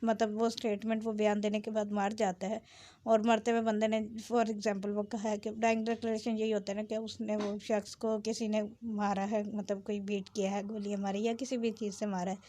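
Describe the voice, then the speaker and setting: A woman giving an explanatory law lecture, switching between Urdu and English and reading statutes haltingly before explaining them. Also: mid-range voice, level tone, mellow. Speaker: law teacher.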